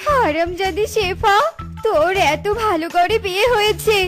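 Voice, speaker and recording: A woman's voice: very high voice, music in the background. A woman voicing a spoiled, whiny young female jackal in a children's cartoon, with dramatic, demanding wails. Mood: jealous, demanding. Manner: dramatic wailing, drawn-out demanding delivery.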